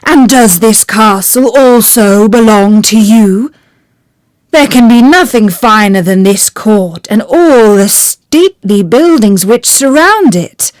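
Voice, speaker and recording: A woman's voice: a little high, lively intonation, slow, dry recording. A woman reading a hearty, delighted fairy-tale king. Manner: exclaiming, stately. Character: grand old king, pleased and hearty.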